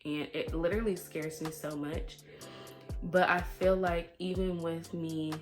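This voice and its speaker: Woman w a lowish voice just chatting, confiding life update vlog, music playing behind her. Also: steady tone, measured pace. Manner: conversational and confiding, quotes herself and others often. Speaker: vlogger. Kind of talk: candid personal life-update vlog talk. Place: at home.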